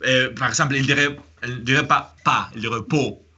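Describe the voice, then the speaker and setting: Bright-voiced man with a Spanish accent. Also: mid-range voice, a little fast, slightly rough, muffled recording. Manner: hesitant, many fillers and restarts. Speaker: host. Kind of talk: casual conversational chat.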